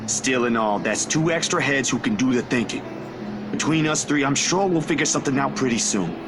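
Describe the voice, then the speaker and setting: A man's voice: mid-range voice, lively intonation, a little fast, noisy background, natural voice. An actor playing a laid-back young man in a visual novel game, an uneasy but loyal friend who talks informally and a little hesitantly. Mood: reassuring. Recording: music behind the voice.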